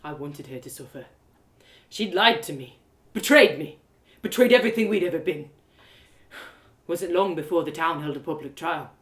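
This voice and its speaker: A woman acts out a wounded young man in an audio story. He is brooding and bitter, telling of old hurts with anguish. The voice sits low, in an echoey room. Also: expressive, normal speed, long pauses. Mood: angry, betrayed. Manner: brooding, anguished, matter-of-fact. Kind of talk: dramatic audio story acting. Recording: roomy, echoey live reading.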